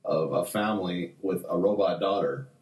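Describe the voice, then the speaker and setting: A man's voice: low-pitched, moderate pace, echoey room. A man co-hosting a podcast in a casual, rambling chat. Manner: lively, rambling, many "like" fillers. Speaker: podcast co-host.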